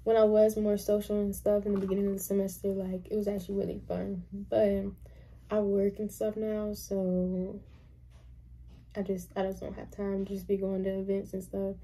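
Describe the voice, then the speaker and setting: A woman's voice: mid-range voice, level tone, moderate pace, dark tone, husky. A young woman of about twenty, a college student, chatting casually to camera in a small bedroom, rambling with lots of fillers and restarts. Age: young adult.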